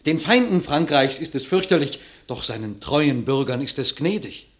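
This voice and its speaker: A man's voice: fairly high pitch, animated, moderate pace, lightly acted. An actor playing a loyal, urging nobleman in classical verse radio drama, with formal, emphatic diction. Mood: troubled, persuasive.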